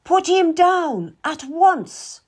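Shrill, cruel young noblewoman, put on by a female reader; high, loud and deliberate. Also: sing-song, full character voice. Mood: screaming. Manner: shrill, scolding, drawn-out accusations. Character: imperious young noblewoman, pregnant, volatile and cruel.

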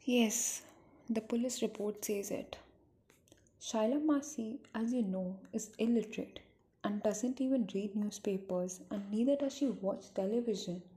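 A woman's voice: fairly high pitch, measured pace, mellow, lightly acted. A woman playing a worried, earnest niece burdened by a sad secret in an amateur radio drama. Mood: sorrowful. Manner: earnest, grave.

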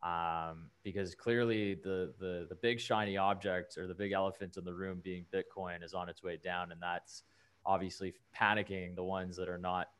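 A man's voice: deep voice, flat delivery, moderate pace, fairly bright tone, clean tone. A man hosting a podcast, talking casually and rambling with fillers. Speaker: podcast host.

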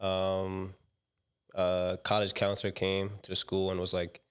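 A young man from the Dominican Republic talks as a guest in a casual interview. His voice sits low and stays fairly flat. He tells his story in a loose, slangy way, full of fillers. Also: measured pace, clear, resonant tone. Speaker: guest, commercial director.

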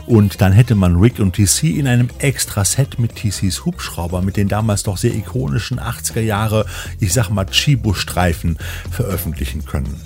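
A man's voice: lowish voice, lively intonation, moderate pace, a little mellow. A man hosting a magazine show, reading scripted narration. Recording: music bed behind the voice. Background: music.

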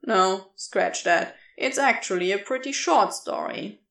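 A woman reads as a wry, flirtatious man from an audio tale, stranded and making the best of it. The words come in a slow drawl with lively dips and rises. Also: medium pitch, gravelly, echoey room.

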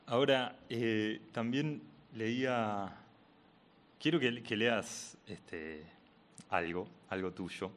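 A male interviewer with a very low voice. He encourages his guest with short replies and phrases his questions with a bit of hesitation. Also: very slow. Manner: brief affirming interjections, questions posed with hesitation. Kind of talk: conversational interview.

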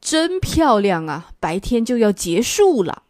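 A woman voicing grumpy male monsters who shout insults through a mountain. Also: on the high side, sing-song, measured pace, dry recording, studio quality. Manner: shouting name-calling.

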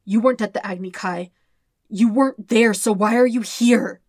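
A woman's voice: mid-range voice, normal speed, a little mellow, no room sound, clean studio sound, lightly acted. Woman acting a furious, wounded teenage boy, snarling. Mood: anguished, bewildered. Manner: gasping, snarling, spitting words out. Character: angry, wounded, proud teenage boy with fiery temper.

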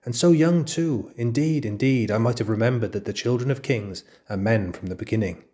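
A man's voice: medium pitch, lightly acted. A man doing a sly, fawning jackal. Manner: wheedling, drawn-out flattery. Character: fawning, spiteful male jackal, small and sly. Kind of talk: storybook reading.

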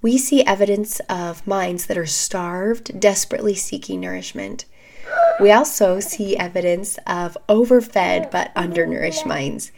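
A woman in her thirties with a scratchy, hoarse voice, calmly hosting an educational podcast while recovering from an illness. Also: lowish voice. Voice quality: scratchy, gravelly voice. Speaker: podcast host. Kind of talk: scripted educational podcast talk.

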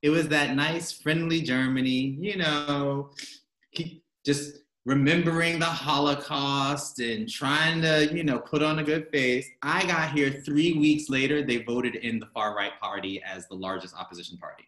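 A man's voice: medium pitch, steady tone, measured pace, fairly bright tone, reverberant, low-quality recording. An American man chatting casually as a guest, telling stories animatedly and laughing often. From United States. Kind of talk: casual chat. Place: home in Berlin.

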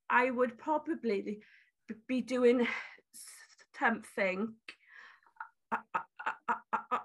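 A woman's voice: a little high, pushed and bright. A woman who stutters heavily, with many repeats and restarts, talking candidly in an interview about her beauty brand. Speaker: guest, beauty brand founder.

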